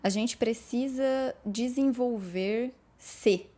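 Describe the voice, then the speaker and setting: Woman in her 30s, podcast language teacher, mellow and warm, encouraging. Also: on the high side, very slow. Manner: encouraging, direct, repeats phrases for emphasis. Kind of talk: podcast lesson, addressing the listener.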